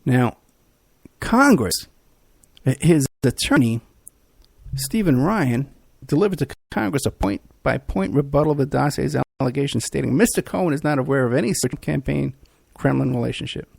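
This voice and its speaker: A man hosting a podcast, ranting in an animated, repetitive talk-radio monologue, hoarse and losing his voice. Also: medium pitch, normal speed, no echo. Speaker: podcast host.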